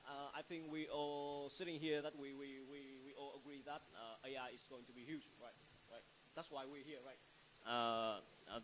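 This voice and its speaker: A man's voice: mid-range voice, fairly bright tone, low-quality recording. A man moderating a panel, framing questions hesitantly, repeating words and checking for agreement. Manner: hesitant, repetitive, seeks agreement with tag questions. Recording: live stage microphone with faint hiss.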